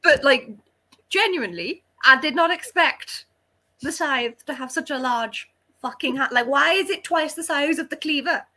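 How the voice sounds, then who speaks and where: A woman's voice: high-pitched, moderate pace, sharp tone. A woman chatting casually about books in a blunt, jokey, sweary way. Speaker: readalong panelist. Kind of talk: casual book discussion, banter.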